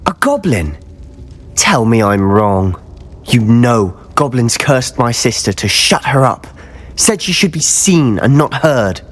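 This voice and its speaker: A man's voice: mid-range voice, very animated, slow pace. A man playing an intense, hot-headed schoolboy wizard in a video game, speaking in a dramatic, clipped way. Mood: grim, wary.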